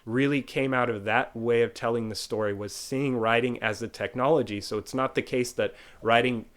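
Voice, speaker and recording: A man's voice: medium pitch, steady tone, moderate pace, fairly bright tone. A man with a Southern Californian accent, a guest creator in a casual interview, talking animatedly and thinking aloud. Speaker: guest, online video creator.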